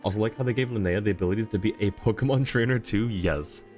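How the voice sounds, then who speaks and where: Male game streamer, very low voice, casual and conversational, faint music underneath. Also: quick pace, darkish tone. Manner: conversational, reading chat comments aloud. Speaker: game livestreamer. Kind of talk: casual livestream chat, answering viewers.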